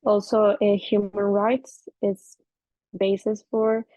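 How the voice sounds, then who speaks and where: Slow, flat-voiced woman on a call. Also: mid-range voice, dry recording. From Costa Rica. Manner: hesitant, many fillers and pauses. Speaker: participant. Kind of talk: self-introduction on a video call. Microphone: video call. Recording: dry, slightly dull call audio.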